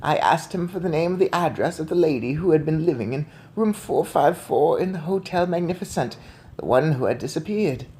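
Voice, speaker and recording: A man's voice: fairly high pitch, animated, brisk, darkish tone, lightly acted. Man voicing a crafty old Norwegian grandmother. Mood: proud, sly.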